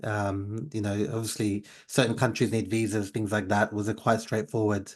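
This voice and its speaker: Male podcast host, conversational, somewhat low voice. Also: level tone, normal speed. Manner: conversational, uses "you know". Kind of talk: scripted intro, then casual interview.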